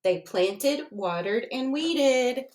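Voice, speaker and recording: A woman's voice: high-pitched, expressive, very slow, a little mellow. A woman reading a children's storybook aloud expressively, addressing her young listeners as she goes.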